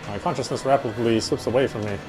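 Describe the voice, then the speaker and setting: A man's voice: mid-range voice, fairly even intonation, fast talker, loud music behind the voice, rough recording. A man reading a horror visual novel aloud as first-person narrator, with a dramatic, tense delivery.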